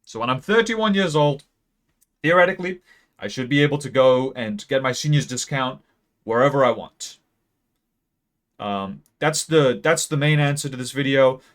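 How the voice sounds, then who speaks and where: A young man in his late teens gives a deadpan mock lecture about a graph. He rambles with many ums and speaks close to a mic that sometimes peaks. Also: medium pitch. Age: young adult. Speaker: video creator. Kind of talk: mock-serious graph explainer.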